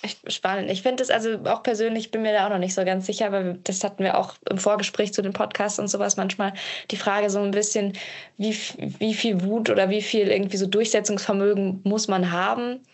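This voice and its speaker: A woman with a slightly husky voice who hosts a podcast in relaxed conversation. She often answers with short, supportive affirmations. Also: medium pitch, brisk. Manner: supportive, frequent brief affirmations. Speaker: podcast host. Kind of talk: conversational interviewing.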